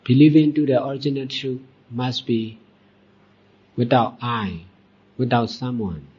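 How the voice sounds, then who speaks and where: Husky man guiding a meditation. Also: medium pitch, fairly even intonation. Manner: deliberate short phrases with long pauses. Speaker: Buddhist monk and meditation teacher. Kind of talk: guided meditation dhamma talk. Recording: dull, muffled lo-fi recording.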